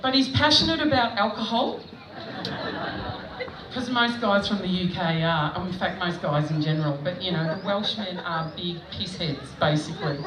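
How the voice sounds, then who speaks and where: A middle-aged Australian woman doing stand-up, telling self-mocking stories in a chatty way with frequent laughter, recorded at an outdoor festival stage. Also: medium pitch. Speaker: stand-up comedian. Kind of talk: stand-up comedy set. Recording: echoey, distant mic with background noise.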